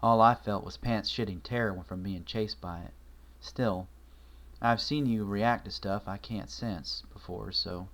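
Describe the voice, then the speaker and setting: An earnest, bookish young pony mare, lifted slightly higher than the reader's usual low voice, as a man reads her out. She sounds anxious and analytical, often hushed, as in a storybook read aloud. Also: steady tone, a little slow.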